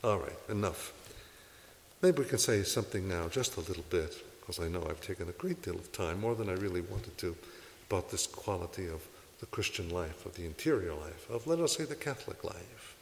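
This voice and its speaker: Priest, male, low voice, earnest exhorting sermon, long hall reverb with faint hiss. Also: expressive, fairly quick. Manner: earnest, exhorting, asks rhetorical questions. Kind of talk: sermon to a congregation.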